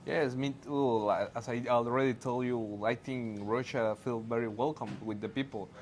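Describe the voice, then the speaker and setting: A man with a Mexican Spanish accent, a native Spanish speaker, interviewing in halting, word-searching English. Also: mid-range voice, fairly even intonation. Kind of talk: casual interview questions. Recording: faint background noise.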